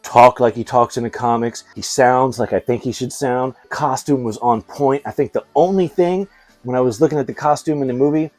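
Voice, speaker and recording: A man talks like a laid-back, enthusiastic fan hosting his own video channel. His voice sits at a medium pitch, and his delivery is chatty and full of slang. Music plays behind him. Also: brisk, darkish tone, dry recording. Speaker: YouTube host reviewing movies and toys. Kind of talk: casual, chatty vlog commentary.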